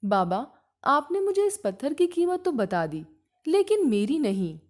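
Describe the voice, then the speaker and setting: A woman's voice: sits high, very animated, a little slow, darkish tone, pitched above the performer's own voice. A woman voicing an earnest, questioning male shop worker in a storybook tale, with a direct manner.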